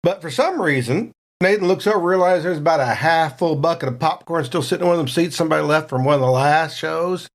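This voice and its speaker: A man's voice: fairly high pitch, quick pace, hardly any pauses. A man casually narrating his character's moves as a player in a tabletop game, in folksy, colloquial phrasing.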